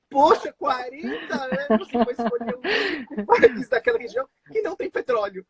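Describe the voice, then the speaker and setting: A man born in Israel and raised in Brazil, hosting a casual live-stream conversation over a video call, chatty and full of fillers and restarts. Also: very high-pitched. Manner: chatty, many fillers and restarts, laughs often.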